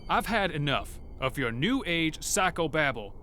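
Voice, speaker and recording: An irritable, defensive man in a horror drama, played by a male actor. His voice is tense and sharp with lively pitch, set against faint background noise. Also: unhurried, heavily acted. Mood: angry, fed up. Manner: sharp, impatient, forceful. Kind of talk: horror audio drama acting.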